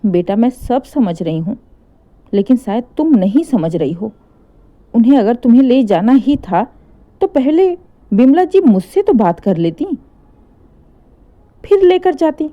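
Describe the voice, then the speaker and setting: A woman's voice: a little high, very animated, unhurried, pauses often, highs cut off. A woman voicing a firm, protective older mother advising her married daughter in a family story. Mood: firm, concerned.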